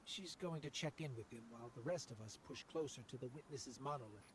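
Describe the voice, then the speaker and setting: A man playing an earnest, concerned companion giving field updates in a video game, measured and confiding. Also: medium pitch, a bit bright, close to an everyday voice. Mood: concerned, resolute. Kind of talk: video game radio monologue. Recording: clear background noise.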